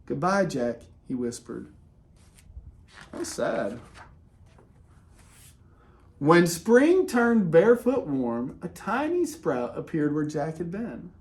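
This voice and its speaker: A man reading a children's picture book aloud in an expressive way, with dramatic pauses and casual, conversational delivery. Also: on the high side. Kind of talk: animated read-aloud with casual asides.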